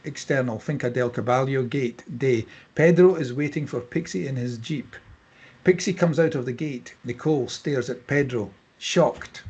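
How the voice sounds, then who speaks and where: A man serves as narrator at a screenplay table read. He delivers scene headings and stage directions as scripted narration. His voice is husky and mid-pitched. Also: normal speed, a little mellow, muffled recording.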